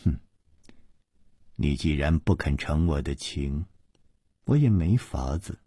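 A man acts out a guarded schemer in a wuxia audiobook. The delivery is cool and sardonic, slow and husky. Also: deep voice, steady tone, warm tone, no echo, studio quality. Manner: sneering, hesitant when cornered.

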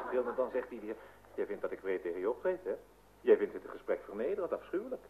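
Male actor, impatient young son, radio-play theatrics, lively intonation, tinny noisy old recording. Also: on the low side, natural voice. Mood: theatrical. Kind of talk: old radio comedy-drama acting.

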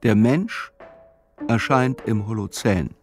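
A man narrating a literary radio play in a measured, sober, reflective way. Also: on the low side, darkish tone, faint music behind.

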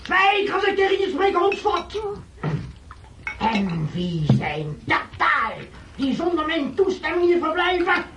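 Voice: very high-pitched, sing-song, slow pace, pauses often, full character voice. An actor playing an imperious, bullying estate steward in a radio play, barking commands and insults. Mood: furious. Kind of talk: Dutch radio play acting. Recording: distant, echoey, noisy radio play.

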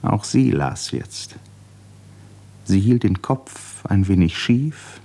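Male narrator for literary radio play: low register, slightly rough husky tone, measured delivery. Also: expressive, warm tone.

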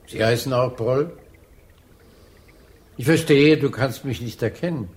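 A man's voice: mid-range voice, a little slow, a little mellow, lightly acted. An actor in a German radio drama playing a stubborn old man who dwells on memories and contradicts in a matter-of-fact way. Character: old man fishing, stubbornly argumentative, reminiscing.

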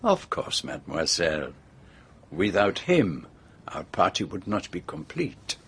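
An actor playing a shrewd, formal foreign detective with a French-speaking European accent in a radio drama. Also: male voice, deep voice, huge pitch swings, a little slow, creaky, fairly bright tone, breathy edge, full character voice. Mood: grave, courteous. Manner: formal, precise, courteous. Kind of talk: radio drama acting, first-person narration.

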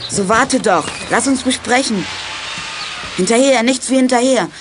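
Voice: medium pitch, measured pace, over music, lightly acted. An actor playing an eager, food-loving boy detective with an earnest, exclaiming manner in a children's radio play. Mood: eager, concerned. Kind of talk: 1980s German children's radio play acting.

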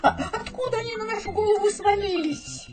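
A woman playing a vain, excitable winged insect in a radio play, buzzing and repeating her words. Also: very high voice, a little fast. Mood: wary. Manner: buzzing stutter, repeats words, self-admiring. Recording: loud music behind the voice.

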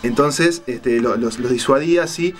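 A man with a Uruguayan accent hosting a casual radio chat, retelling stories in a halting, colloquial way and searching for words. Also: medium pitch, faint music behind. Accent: Uruguayan Spanish. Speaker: radio show host. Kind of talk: casual on-air chat, retelling a film and crime story.

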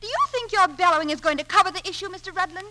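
A young woman playing a haughty, sharp-tongued sister in 1940s radio drama style. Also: very high-pitched, racing, background noise.

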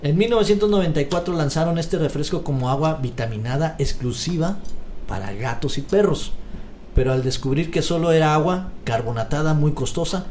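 A man's voice: on the high side, animated, a little fast, a little mellow, slight background noise. A man co-hosting a casual comedy podcast, running through a list of topics with jokes. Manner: reads out list items, jokey. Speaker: podcast co-host.

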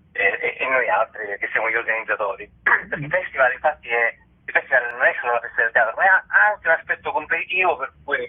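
A man's voice: mid-range voice, breathy edge. A man explaining things as a radio interview guest over the phone, often restarting his phrases. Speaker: festival director, interview guest. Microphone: telephone call into radio show. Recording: tinny, narrowband phone line.

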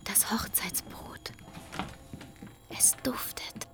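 A woman's voice: very slow, whispered. An actress playing an earnest young girl who tells her own story in an intimate radio drama. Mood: hushed, wistful. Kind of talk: radio drama narration and dialogue. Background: music.

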